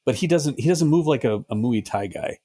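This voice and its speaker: A middle-aged man co-hosting a podcast, talking loosely with jokes and curses. His voice sounds husky and a little rough. He sits at a middle pitch and talks in easy bursts. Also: animated, a little fast, dark tone. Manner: jokey, slips into silly mock voices and swears. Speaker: podcast co-host. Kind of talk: casual chat.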